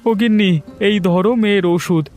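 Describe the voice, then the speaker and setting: Devoted, guilt-ridden village dad for a cartoon, played by a man: high male voice, earnest, music underneath. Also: steady tone, a little fast, darkish tone, lightly acted. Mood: sad, earnest. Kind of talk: Bengali cartoon voice acting.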